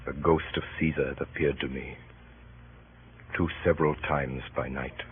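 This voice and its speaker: An actor playing a noble, weary defeated Roman general in solemn, measured, declamatory radio Shakespeare. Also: male voice, extremely low pitch, steady tone. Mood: resigned. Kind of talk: 1930s radio Shakespeare acting. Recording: scratchy, muffled 1930s radio broadcast.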